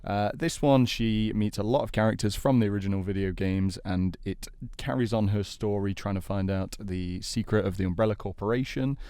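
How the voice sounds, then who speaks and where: Lowish-voiced male host, dry close sound. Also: steady tone, normal speed, few pauses, warm tone, smooth, no room sound. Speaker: host of podcast debate. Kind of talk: conversational presenting.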